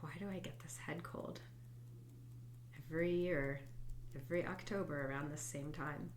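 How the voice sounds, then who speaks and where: Low-voiced woman with a stuffy head cold. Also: normal speed, a little hiss or hum. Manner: soft, reflective, drifts into quiet whispered tapping phrases. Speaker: host and EFT tapping coach. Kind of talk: guided tapping session, spoken aloud.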